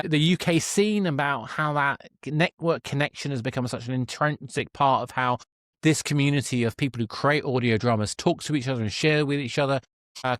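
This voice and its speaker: A man's voice: mid-range voice, no room sound. Enthusiastic male podcast host, dry sound. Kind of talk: conversational podcast hosting.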